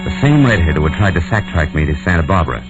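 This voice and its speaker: An actor with an American accent playing a tough, wry private detective in clipped 1940s radio noir style. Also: male voice, sits low, sing-song, racing. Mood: wry narrating. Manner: clipped, hard-boiled, wry. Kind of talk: 1940s radio acting, first-person noir narration. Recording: dull old radio broadcast, music behind.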